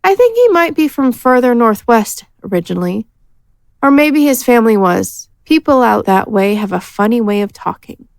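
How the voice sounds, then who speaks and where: A woman's voice: fairly high pitch, moderate pace, creaky, clean tone, dry recording. A woman playing an eager, chatty adventurer in a fantasy audio drama, telling things breezily. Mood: musing. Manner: excited, breezy storytelling.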